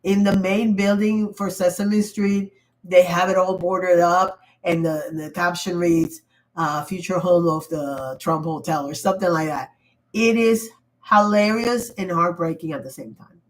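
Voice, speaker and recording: A woman's voice: on the low side, fairly even intonation, a little slow, low-quality recording. Sick woman losing her voice. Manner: rambling, emphatic, restarts often. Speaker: livestream host. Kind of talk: casual livestream commentary. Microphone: computer mic.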